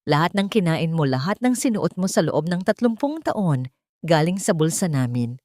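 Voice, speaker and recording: A woman's voice: fairly low pitch, sing-song, normal speed, a little mellow, dry recording. Female narrator as haughty rich villainess, cruel, sneering, contemptuous, commanding, storybook melodrama, dry sound. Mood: furious accusing.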